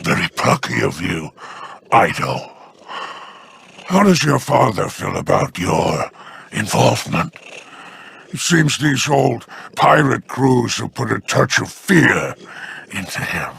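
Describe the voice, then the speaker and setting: Gravelly alien boss, smug and sneering, drawls slowly, swoops up and down. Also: male voice, lowish voice, big pitch swings, a bit bright, full character voice. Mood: condescending. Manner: mocking, silky condescension. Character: scheming male alien crime boss, smug and sly. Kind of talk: video game voice acting.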